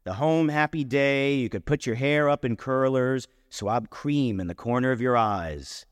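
A man's voice: mid-range voice, unhurried, clean tone, no echo, clean studio sound, in a large hall, natural voice. A man voicing a self-righteous, wounded young man in a short story, declaiming a letter in a pompous, indignant, oratorical manner.

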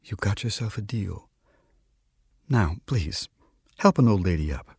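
A man voicing a friendly, wistful old lady in a story reading, with a gentle, chatty manner. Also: on the low side, expressive, measured pace, gravelly, slightly rough, no echo, studio quality, full character voice. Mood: warm, decided. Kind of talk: literary story reading with character dialogue.

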